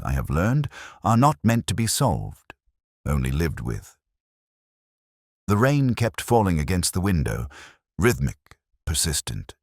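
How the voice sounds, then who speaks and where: Male actor as world-weary English detective narrator for radio-style mystery: extremely low, husky, solemn and reflective. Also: no echo, studio quality, heavily acted. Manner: solemn, wistful, measured. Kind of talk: first-person literary mystery narration.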